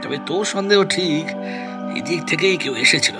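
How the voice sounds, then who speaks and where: A man's voice: fairly high pitch, moderate pace, over music, lightly acted. A man playing a matter-of-fact senior police detective, an avuncular figure in an audio drama. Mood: investigative, familiar. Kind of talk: acted dialogue in Bengali audio detective drama.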